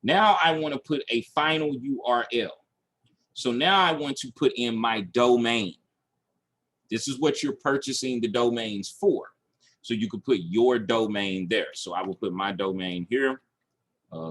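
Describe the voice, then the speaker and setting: A man's voice: mid-range voice, measured pace, a bit bright. A man teaching online marketing in a screen-share tutorial, talking through each step aloud and checking in as he goes. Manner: instructional, checking in with "okay" and "all right".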